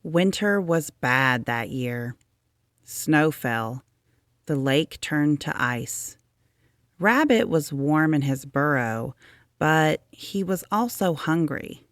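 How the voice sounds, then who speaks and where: A woman reading a children's story aloud as an expressive storyteller. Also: deep voice, slow, no room sound, studio quality.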